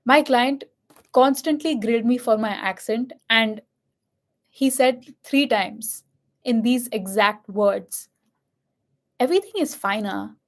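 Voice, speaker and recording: A young woman with a light Indian accent speaks candidly and reflectively in a casual chat. Her voice is fairly high for a woman. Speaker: host and MC.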